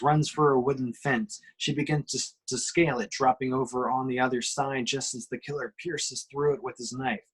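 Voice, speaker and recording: A man's voice: mid-range voice, fairly bright tone, breathy edge, rough recording. A man reading screenplay action lines dramatically at a table read, punching out the sudden action words. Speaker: reader of the action description.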